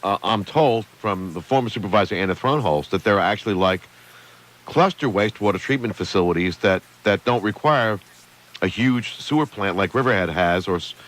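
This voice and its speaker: A man with a low voice and a lively, swinging pitch, co-hosting a radio talk show. He talks in a chatty, wry way. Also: a little fast. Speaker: radio co-host.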